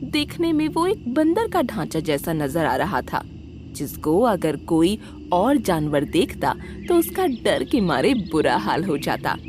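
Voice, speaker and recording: Animated woman narrating a children's story. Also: fairly low pitch, a little slow, slight background noise. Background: background music.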